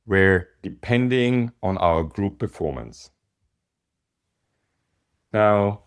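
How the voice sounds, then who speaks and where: A man from Austria with an Austrian German accent, a business coach talking casually and hesitantly to an online group, with many pauses and ums. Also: lowish voice.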